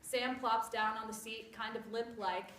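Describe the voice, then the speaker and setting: Woman, story narrator, bright voice, theatrical scripted reading, roomy echo. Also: fairly high pitch, fairly even intonation, moderate pace. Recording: echoey room with clear background noise.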